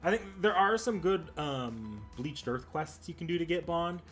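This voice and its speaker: A man's voice: on the high side, sing-song, moderate pace, a bit bright. A man hosting a livestream, chatting casually with viewers and rambling as he thinks aloud. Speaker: livestream host. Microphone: desktop mic at a computer. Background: music.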